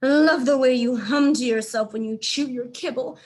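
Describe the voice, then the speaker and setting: Storybook lady cat, female reader: haughty, theatrical, besotted, high voice. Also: moderate pace, darkish tone, natural voice. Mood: lovestruck, dramatic. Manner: grand, melodramatic delivery. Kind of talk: children's storybook character voice.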